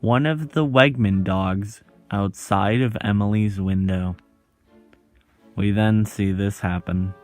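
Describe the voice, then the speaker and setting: Male video host for scripted explainer narration. Slow delivery with dramatic pauses between short phrases, light music underneath. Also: on the low side.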